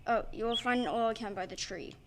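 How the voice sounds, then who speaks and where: A woman playing a gentle, polite man made of tin in a stage audio play, speaking in a measured, formal, earnest way. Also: fairly high pitch, background noise, close to an everyday voice. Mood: pleading. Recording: live stage recording with music underneath.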